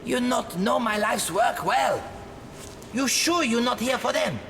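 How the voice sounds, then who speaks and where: A man playing a dying, righteous Tibetan monk in a video game, speaking halting, stilted broken English theatrically. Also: very high-pitched, noisy background. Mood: defensive. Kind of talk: dramatic video game cutscene acting.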